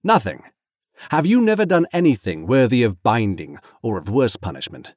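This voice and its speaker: A man acts out a grave storybook captain, stern and judicious. He commands in formal, old-fashioned phrases with a wide-moving pitch, recorded dry and close. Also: very animated, moderate pace, a little mellow, no echo. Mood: stern questioning.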